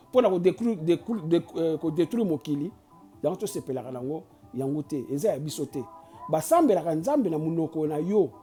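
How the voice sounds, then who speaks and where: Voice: medium pitch, mellow. A host from Kinshasa with a Congolese accent, giving an impassioned, preachy address that switches between Lingala and French and often stumbles and restarts mid-sentence. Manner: preachy and urging, with frequent restarts and stammers. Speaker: channel host.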